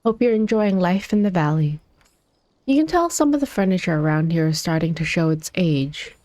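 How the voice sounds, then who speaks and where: A woman reads an older male innkeeper from a fantasy game, homely and wistful. Voice slightly low, sound close and dry. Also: expressive, normal speed, darkish tone, dry recording, lightly acted. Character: lonely, gentle widowed innkeeper, older man.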